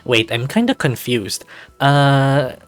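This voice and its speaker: A narrator reading a visual novel aloud in an expressive, playful way, with bits of casual commentary. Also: mid-range voice, slow. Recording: dry recording with music behind.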